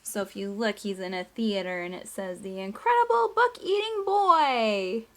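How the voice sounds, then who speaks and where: A woman teacher doing an animated read-aloud of a children's story, with chatty asides and questions to young listeners. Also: mid-range voice, moderate pace. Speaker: teacher reading aloud to class.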